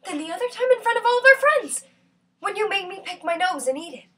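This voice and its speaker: A high female voice, recorded at some distance from the mic, an actress playing a lonely and bitter woman in a stage monologue. Her delivery is intense and halting, raw with hurt, moving between hushed words and outcry. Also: expressive. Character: lonely, bitter, wounded woman who feels ugly. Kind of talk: theatrical monologue performance.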